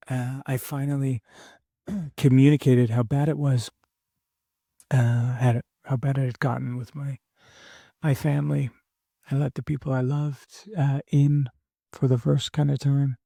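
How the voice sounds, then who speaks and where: A young man losing his voice, talking candidly and haltingly in a reflective personal monologue as a podcast host. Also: mid-range voice, gravelly, mellow, no echo, clean studio sound. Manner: halting, candid, many fillers and restarts.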